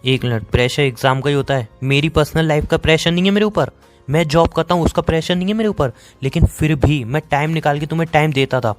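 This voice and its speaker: A man's voice: on the high side, faint music behind, lightly acted. A man with an Indian accent playing a sarcastic, dismissive young boyfriend in a phone-call romance drama. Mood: irritated. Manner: sarcastic, dismissive, rapid-fire. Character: bitter, sarcastic young boyfriend fed up with his girlfriend.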